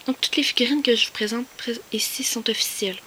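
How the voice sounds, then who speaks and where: Whispering Québécoise woman, bright and hesitant. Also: fairly high pitch, fairly even intonation, quick pace, a little hiss or hum, low-quality recording. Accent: Québécois French. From Quebec. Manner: mostly whispering, hesitant with many fillers. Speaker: collector showing finds. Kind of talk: soft whispered show-and-tell.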